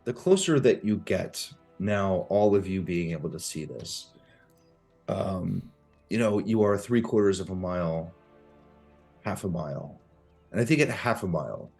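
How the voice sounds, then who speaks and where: Very low male game master voice, unhurried and halting, faint music behind. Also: vocal fry, darkish tone. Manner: slow, hesitant, descriptive, many fillers. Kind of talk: narrating a tabletop game.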